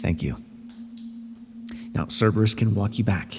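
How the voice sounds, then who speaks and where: A man's voice: lowish voice, unhurried, big-room sound, background noise, natural voice. A man voicing an irritable male god who rules the underworld, speaking in a haughty, scolding way with dry sarcasm, as an audio roleplay.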